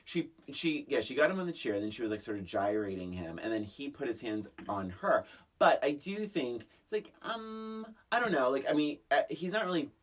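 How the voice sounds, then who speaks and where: Very deep-voiced, husky, animated woman. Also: moderate pace. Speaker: podcast co-host. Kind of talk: casual film discussion.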